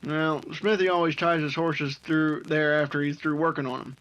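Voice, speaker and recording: An actor playing a sly horse thief of the old north in a radio adventure drama. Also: male voice, fairly high pitch, flat delivery, normal speed, fairly bright tone, lo-fi sound, lightly acted. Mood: sly, eager.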